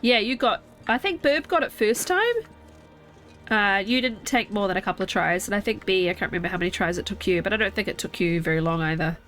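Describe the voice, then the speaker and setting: A woman's voice: mid-range voice, a little fast, fairly bright tone, faint music behind. Stuffy-nosed woman chatting through gameplay. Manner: chatty, thinking aloud while playing. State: stuffy nose, congested. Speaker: game streamer. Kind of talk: casual live gameplay chat. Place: at home.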